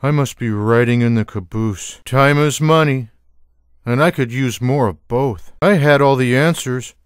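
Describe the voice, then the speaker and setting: A man voicing a lazy, sarcastic, food-loving cartoon cat in a video game, with wry, deadpan, drawling one-liners. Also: mid-range voice, a little slow, clear, resonant tone, no room sound, clean studio sound, close to an everyday voice. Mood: dry, sardonic.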